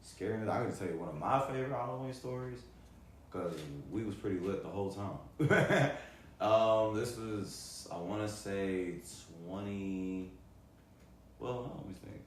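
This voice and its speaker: A man's voice: lowish voice, measured pace. An American man co-hosting a podcast, chatting and telling stories in an informal, slangy way. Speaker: podcast co-host. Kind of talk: casual chat and storytelling. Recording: very echoey room, faint noise.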